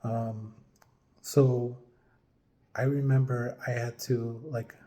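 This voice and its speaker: Slow, mellow-voiced man. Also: mid-range voice, level tone. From upstate New York, US. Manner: hesitant, many ums and restarts, chuckles now and then. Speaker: vlogger. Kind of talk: casual personal storytelling to camera.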